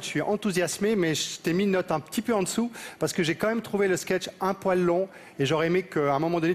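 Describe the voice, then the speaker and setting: Brisk, earnest male juror. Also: fairly high pitch. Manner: earnest, measured praise then criticism. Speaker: jury member. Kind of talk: giving critique on a TV show. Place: large TV studio with audience. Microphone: headset mic.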